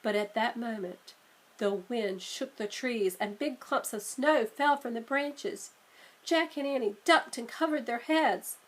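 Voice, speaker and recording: A woman narrates a children's storybook as a read-aloud. Her voice sits fairly high and she takes her time, with measured, expressive phrasing. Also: poor audio. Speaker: teacher reading aloud.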